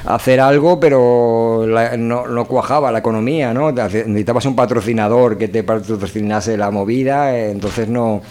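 A man's voice: mid-range voice, steady tone, moderate pace, few pauses, a little mellow. A man with an accent from Spain hosting a community radio show in a casual, rambling, colloquial way. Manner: rambling, informal.

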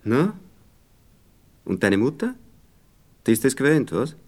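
A man's voice: mid-range voice, very animated, unhurried, a little mellow. An actor playing an evasive, condescending Viennese man in a radio play, cajoling and patronising in a hesitant way, with a Viennese accent. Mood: probing, coaxing. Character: evasive, condescending married Viennese man courting a young woman. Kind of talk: naturalistic radio play dialogue.

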